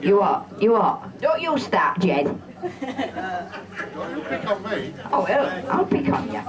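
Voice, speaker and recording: A woman's voice: mid-range voice, very animated, unhurried. An older woman giving an informal, rambling, repetitive speech to guests, emotional and close to tears. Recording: distant home video, loud crowd.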